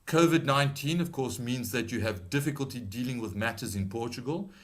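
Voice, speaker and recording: A middle-aged British man with a slight South African tinge to his accent, presenting a webinar in a measured, advisory way with frequent ums and restarts. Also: mid-range voice. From Britain. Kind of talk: explanatory webinar talk.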